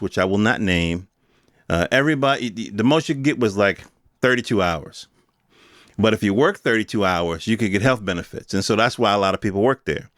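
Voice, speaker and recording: A man's voice: on the low side, a bit bright, no room sound, studio quality. A middle-aged American man hosting a podcast, talking in a casual, rambling, streetwise and opinionated way. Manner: informal, streetwise, opinionated, emphatic with swearing. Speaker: podcast host. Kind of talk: casual rambling monologue commentary.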